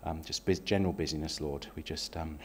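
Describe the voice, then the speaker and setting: Low-voiced man, slightly croaky. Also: steady tone, brisk. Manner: gentle, hesitant, with frequent ums. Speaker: lay worship leader. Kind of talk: welcome and spoken prayer at a church service. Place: small church sanctuary, indoors. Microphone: stand microphone at a lectern.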